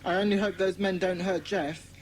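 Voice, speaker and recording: A woman's voice: medium pitch, steady tone, moderate pace, a little mellow, a little hiss or hum, close to an everyday voice, low-quality recording. A woman voicing an earnest, well-spoken British schoolboy in a children's audiobook drama. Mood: worried. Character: Peter, earnest schoolboy leader of a children's detective club.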